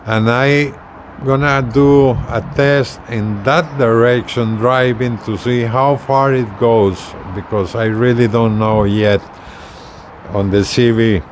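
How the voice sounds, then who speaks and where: A man giving a casual product demonstration as a video presenter, talking haltingly and repeating words as he explains. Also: mid-range voice, steady tone, a little hiss or hum. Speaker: hobbyist video presenter, truck driver.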